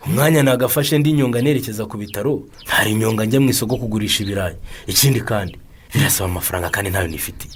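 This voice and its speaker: A man's voice: fairly low pitch, racing, breathy edge. A man with a Rwandan accent playing a reluctant, anxious village patient in a radio drama, complaining and pleading.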